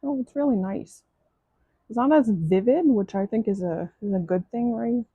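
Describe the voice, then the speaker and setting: A woman's voice: a little high, moderate pace, slightly rough, no echo, phone-quality sound. A woman with a French accent, French her first language, chatting casually through a craft kit unboxing, gushing and restarting often. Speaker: crafting vlogger.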